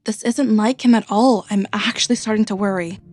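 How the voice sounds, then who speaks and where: A woman's voice: medium pitch, fairly even intonation, brisk, a little mellow, faint music behind, natural voice. A woman playing an idealistic, naive and stubborn young woman in a naturalistic audio drama. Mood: worried.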